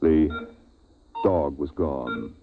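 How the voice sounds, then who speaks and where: A man narrating a story in solemn, theatrical old radio drama style with deliberate phrasing. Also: very deep voice, creaky, crowd noise. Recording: tinny vintage radio recording, music behind.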